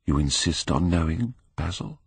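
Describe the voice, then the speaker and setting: A man voicing a proud young Victorian gentleman in an audiobook, hard, contemptuous and bitterly mocking. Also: sits low, lively intonation, normal speed, vocal fry, breathy edge, no echo, full character voice. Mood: harsh, sardonic.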